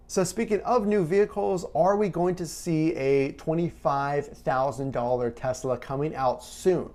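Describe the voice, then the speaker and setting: A man's voice: a little high, a little mellow. A man hosting a recap video, explaining news to viewers in an emphatic way with rhetorical questions. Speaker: video host and presenter.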